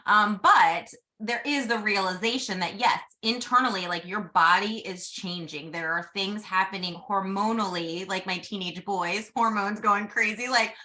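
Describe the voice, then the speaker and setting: A woman in her forties hosting a podcast, chatting casually in an animated way. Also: medium pitch. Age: 40s. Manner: chatty and animated, quick asides. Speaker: podcast host. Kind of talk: casual chat.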